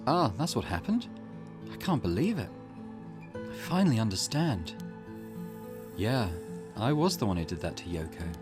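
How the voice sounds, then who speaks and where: A man's voice: lowish voice, sing-song, moderate pace, pauses often. A man reading as a stunned young man. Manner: plain reading of a character's lines. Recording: game music playing behind.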